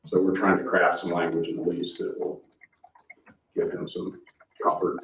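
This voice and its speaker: A man's voice: low-pitched, little pitch movement, normal speed, a bit bright. A man chairing a town board meeting in an informal, procedural way. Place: indoor public meeting room. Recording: muffled, echoey meeting room, distant mic.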